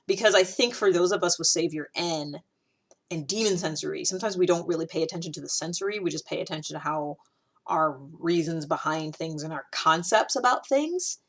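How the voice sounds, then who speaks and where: Chatty woman, lowish voice. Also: a little fast. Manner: chatty, thinking aloud with fillers and restarts; laughs often between thoughts. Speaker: host. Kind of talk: casual personal talk.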